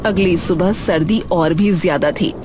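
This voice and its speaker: A woman's voice: fairly low pitch, unhurried, background noise. A woman reading scripted narration for a cartoon family story, as the narrator.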